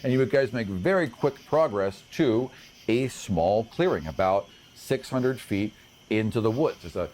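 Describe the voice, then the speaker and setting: A man working as game master, narrating an improvised fantasy scene in an animated way with vocal sound effects and repeated words. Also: lowish voice, unhurried. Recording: background noise behind the voice.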